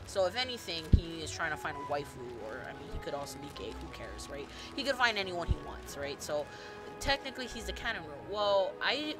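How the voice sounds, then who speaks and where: A woman streamer with a slightly low voice talks in a loose, gushing ramble, full of fillers and casual swearing. Music plays clearly behind her voice. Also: sing-song, brisk. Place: home streaming setup.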